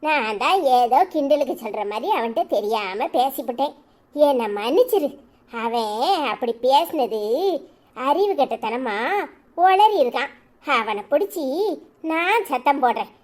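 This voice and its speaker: Brief: woman playing a fierce old grandma, loud rapid scolding, high lively voice. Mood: pleading.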